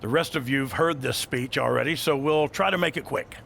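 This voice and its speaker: A man playing a brisk, no-nonsense wrestling coach in a video game cutscene. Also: mid-range voice, vocal fry, fairly bright tone, slight background noise. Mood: brisk, official.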